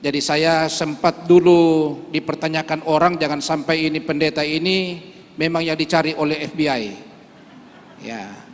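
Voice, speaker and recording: A man from Toraja speaks with a somewhat high voice, earnest and solemn as he thanks the congregation and leads a prayer. His phrases often fade off softly at the ends. The sound rings with long reverb from a large church hall. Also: moderate pace. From Toraja, Indonesia. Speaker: church regional leader leading prayer. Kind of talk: church speech and closing prayer.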